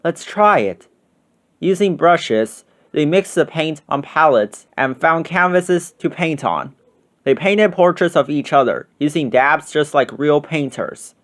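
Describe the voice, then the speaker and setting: Slightly high-voiced man reading a storybook. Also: expressive, a little slow, a bit bright. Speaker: storybook reader. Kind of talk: expressive scripted children's narration.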